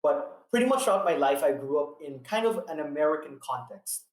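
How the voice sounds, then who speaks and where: A young man in his twenties, a university student from Saipan, speaks in a fairly high voice. He asks his questions politely and earnestly, with a few restarts. His voice rings out in an echoey room. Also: expressive, fairly quick. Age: 20s. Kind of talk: interview answers and questions.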